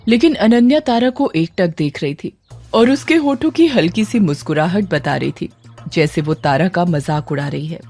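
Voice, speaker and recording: A woman's voice: on the low side, lively intonation, normal speed, a little mellow. A woman narrating a scripted horror audio story in a dramatic storytelling style. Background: music under the voice.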